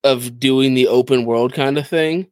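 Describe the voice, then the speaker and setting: A man's voice: medium pitch, fairly even intonation, measured pace, no room sound, studio quality. A man chatting casually as a podcast co-host, explaining video games with enthusiasm. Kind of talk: casual gaming podcast chat.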